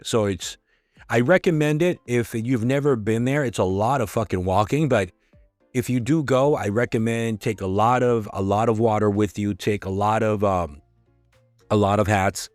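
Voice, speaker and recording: Hoarse man talking casually, low voice. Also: a little mellow, no echo. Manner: frank, conversational, sprinkled with swearing. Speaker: podcast host. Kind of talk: casual solo podcast talk.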